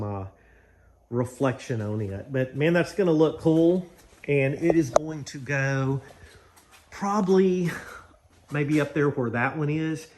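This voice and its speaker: Folksy American guy over background noise. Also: mid-range voice. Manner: folksy, informal, thinking aloud. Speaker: host of a garage project vlog. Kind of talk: casual chat to viewers while working. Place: garage and yard.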